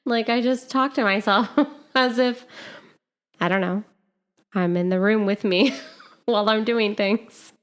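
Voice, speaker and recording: A young woman co-hosting a casual podcast, chatty and quick to laugh at herself. She speaks at a mid pitch with an easygoing, friendly looseness. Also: clean tone. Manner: giggly, chatty, self-deprecating. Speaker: podcast co-host. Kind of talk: casual chat.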